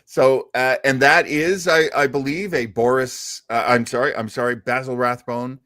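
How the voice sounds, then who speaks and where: A man's voice: medium pitch, steady tone, normal speed, fairly bright tone. A man hosting a live web show in a casual, chatty style, effusive with his thanks and praise. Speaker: host of a live web show about old-time radio and film.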